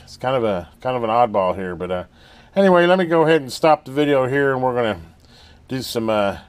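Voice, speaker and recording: A man talking casually and haltingly in a folksy way, thinking aloud as he hosts a hands-on repair video at an indoor workbench. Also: medium pitch. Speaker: host of a radio repair channel.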